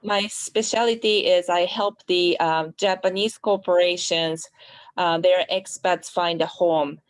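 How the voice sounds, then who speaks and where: Slightly low-voiced woman introducing herself on a call. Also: steady tone, slow. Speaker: realtor. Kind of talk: self-introduction in a meeting. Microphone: video call.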